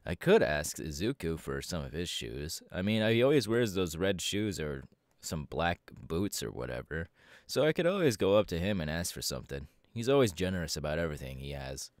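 A man voicing a laid-back, cocky young winged superhero in a casual ASMR audio roleplay, talking slangily with hesitant pauses. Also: deep voice, sing-song, no echo, studio quality. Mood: musing, easygoing.

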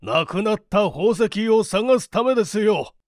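A man voicing an anxious, excitable country gentleman in a dramatic story reading, with an agitated, emphatic delivery. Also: high voice, unhurried, no echo, studio quality, pitched above the performer's own voice. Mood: excited.

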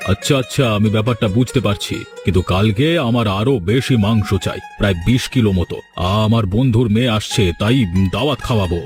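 A man's voice: lowish voice, brisk, lightly acted. A man voicing a proud, domineering village landlord in a cartoon fairy tale, scolding and threatening. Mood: stern, warning. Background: music.

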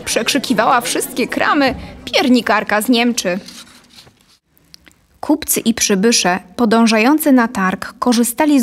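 A woman in her thirties reading a scripted story as narrator, close to a studio microphone. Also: a little high. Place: dark small studio, indoors. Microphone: studio condenser on boom, close.

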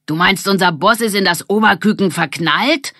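A woman's voice: medium pitch, normal speed, pushed and bright, no echo, clean studio sound, pitched above the performer's own voice. A woman voicing a cocky, teasing boy from a gang in a brash, mocking way.

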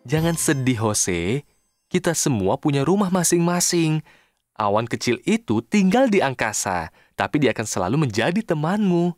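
A man voicing a patient, sensible, caring helper in a children's cartoon, gently admonishing and expressive. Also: fairly high pitch, normal speed, no echo. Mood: reassuring. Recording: studio voice over cartoon music.

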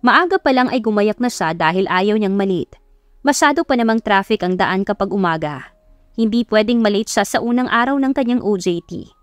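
A woman's voice: mid-range voice, expressive, normal speed, no echo, faint music behind. A woman with a Filipino accent reading a scripted story as an audiobook narrator.

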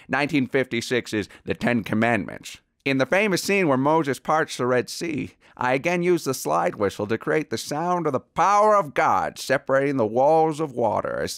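A man's voice: mid-range voice, a bit bright, no echo, studio quality. An actor playing a genteel, self-serious veteran Hollywood sound-effects artist in a comedy mock interview, savouring his words pompously.

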